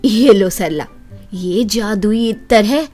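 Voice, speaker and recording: A woman's voice: mid-range voice, takes it slowly, music in the background, natural voice. A woman playing a kindly older aunt who explains and cautions earnestly in a children's moral story. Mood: reassuring.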